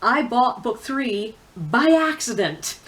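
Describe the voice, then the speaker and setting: A woman's voice: fairly high pitch, very animated, slow pace, a bit bright. A woman talking casually and candidly to viewers as a book reviewer, with opinionated, informal asides. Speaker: book reviewer on a video channel. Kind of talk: casual chatty vlog talk about books.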